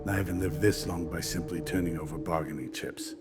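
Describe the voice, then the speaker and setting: Deep-voiced stoic starship captain played by a man. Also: steady tone, close to an everyday voice. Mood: cool defiance. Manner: measured, formal, firm. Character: stoic, hard-bargaining male starship captain. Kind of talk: radio drama acting. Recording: loud music behind the voice.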